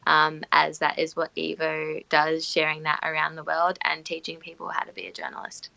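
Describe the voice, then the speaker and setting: A woman's voice: low-pitched, normal speed, vocal fry, pushed and bright, dry recording. A young woman, a student guest, chatting upbeat over a video call with dull lo-fi sound. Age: young adult. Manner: upbeat and chatty, laughs often. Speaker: student guest on internship abroad.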